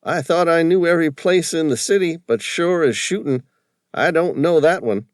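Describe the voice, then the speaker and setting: A man's voice: fairly high pitch, normal speed, dry recording, clean studio sound, voice pushed up, lightly acted. A man doing a brash East Coast cabbie. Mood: chatty. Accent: broad East Coast American. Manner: chatty, folksy. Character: brash middle-aged male cabbie.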